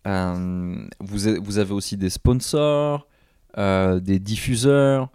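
A man's voice: lowish voice, takes it slowly, a little mellow, clean tone, no echo, studio quality. A man interviewing a guest, asking curious, polite questions with frequent hesitations. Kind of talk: casual interview chat.

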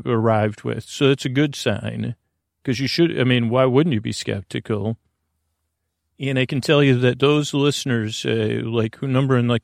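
Creaky-voiced man rambling softly through a bedtime podcast. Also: mid-range voice, no room sound, clean studio sound. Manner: meandering, halting, tangential, self-deprecating. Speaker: podcast host.

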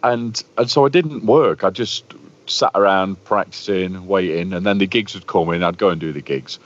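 A man with a deep, boomy voice rambles through stories as a guest in a casual conversation. His pitch sits very low. The sound is slightly muffled and lo-fi. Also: moderate pace. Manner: talkative, storytelling. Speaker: guest, bass player. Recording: muffled lo-fi audio.

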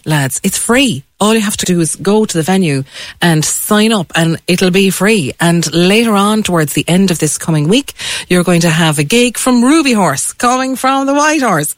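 An Irish woman presents casual radio chat. She is enthusiastic and talkative, at a middling pitch. The sound is close and dry, as in a studio. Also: no echo. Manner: chatty, enthusiastic. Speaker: radio host. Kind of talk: casual radio chat about local gigs.

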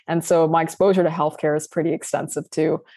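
Lively laughing woman guest, low dry voice. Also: a little fast, dry recording. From Sudan. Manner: animated, laughs often, frequent "like" and restarts. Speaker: guest founder. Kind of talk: casual interview chat.

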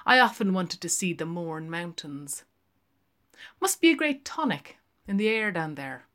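A woman reader voicing a genial, musing gentleman drinker in a literary audiobook, in a conversational way. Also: fairly low pitch, big pitch swings, measured pace.

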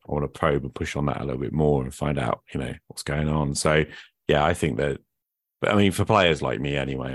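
A man with a low, deep voice hosts a conversational podcast. He talks informally, as if thinking aloud. The sound is dry, with very little room on it. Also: dry recording. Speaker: podcast host. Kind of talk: casual conversational podcast talk.

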